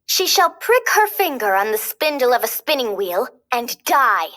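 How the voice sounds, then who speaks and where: A woman voicing an evil sorceress in a storybook, mock-polite and deliberate as she threatens. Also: on the high side, very animated, bright, forceful tone, heavily acted. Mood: coolly menacing. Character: evil sorceress, coolly wicked, cursing a baby.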